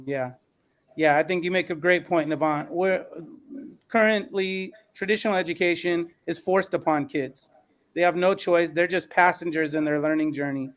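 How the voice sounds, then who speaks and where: A man with a somewhat high-pitched voice moderates an educational panel. He speaks like an encouraging educator, affirming guests and setting up questions for them. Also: fairly even intonation, normal speed.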